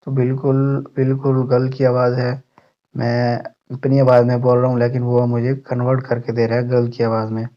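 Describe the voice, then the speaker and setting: A man's voice: mid-range voice, monotone, moderate pace. A man hosting a tech channel, narrating an app tutorial in an instructional way to his viewers. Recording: muffled, dull lo-fi voice-over.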